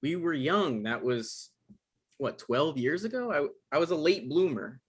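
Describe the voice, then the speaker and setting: A man's voice: on the high side, animated, slightly rough. A man playing an awkward, apologetic young man who turns dryly sarcastic when cornered, in a comedy table read. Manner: hesitant, halting, dry.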